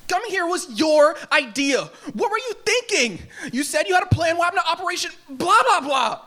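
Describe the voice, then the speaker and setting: A woman's voice: very high voice, lively intonation, a little fast, fairly bright tone. A woman reading a bratty teenage boy who whines and accuses his older brother, at a live table read. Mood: accusing. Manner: whiny, accusing.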